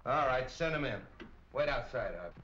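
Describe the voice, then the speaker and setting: An actor playing a gruff, accusing middle-aged police captain in a 1940s crime film, with clipped delivery. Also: male voice, mid-range voice, lively intonation, moderate pace, a bit bright, a little hiss or hum, close to an everyday voice. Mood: suspicious. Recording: muffled old film soundtrack.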